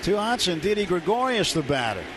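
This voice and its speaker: A man with an American accent calls a baseball game live on radio. His voice sits a little high, and he describes the action in clipped phrases. There is clear ballpark noise behind him. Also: sing-song, a little fast. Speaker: baseball play-by-play radio announcer. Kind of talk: live sports commentary. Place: outdoor ballpark broadcast booth.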